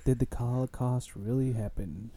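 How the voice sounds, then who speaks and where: A man's voice: medium pitch, steady tone, moderate pace, dark tone. A young man hosting a casual beer podcast, playful and crude, tossing in mock German words. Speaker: podcast host. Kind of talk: casual chat.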